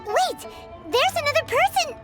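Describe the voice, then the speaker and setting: Squeaky, childlike, excitable fairy. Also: female voice, normal speed, music in the background. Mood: excited. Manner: excitable, refers to self in third person. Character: tiny chirpy female fairy companion, childlike and bossy.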